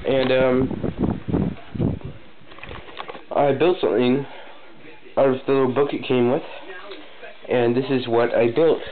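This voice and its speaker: A man's voice: level tone, slow pace, pauses often. A young man with a cold, sniffling, casually and hesitantly showing off a model he built. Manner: casual, hesitant, many fillers and restarts. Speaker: hobby builder showing a model. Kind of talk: casual show-and-tell vlog. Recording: tinny phone-like low-fi audio, faint noise.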